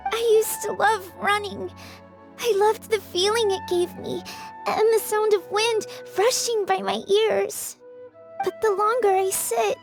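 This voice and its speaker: Frail little girl, very high and slow. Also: full character voice. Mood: wistful, sad. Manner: halting, plaintive, earnest. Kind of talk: English video game voice acting. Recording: music behind the voice. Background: game background music.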